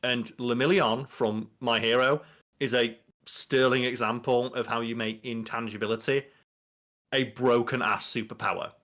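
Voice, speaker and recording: A man's voice: medium pitch, fairly even intonation, moderate pace, fairly bright tone. A man, around his thirties, co-hosting a casual podcast, explaining things in an emphatic, sweary way. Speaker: podcast co-host. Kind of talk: casual banter, explaining pop culture.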